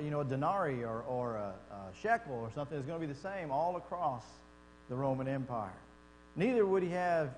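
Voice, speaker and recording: Texan man, Bible class teacher, folksy and chatty; echoey hall, faint mains hum. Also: fairly high pitch. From Texas. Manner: folksy and conversational, asks the class questions. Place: church classroom.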